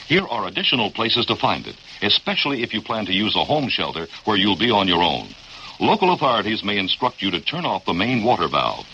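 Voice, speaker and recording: A man's voice: on the low side, bright, forceful tone. An American man formally reading an instructive mid-century civil defense public service announcement on an old radio recording. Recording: dull, faintly noisy archival radio recording.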